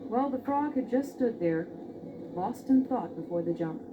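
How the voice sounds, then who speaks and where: A woman narrates a children's fairy tale with a very animated delivery. Her voice sits a little high. The old recording is dull, with clear noise throughout. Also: moderate pace.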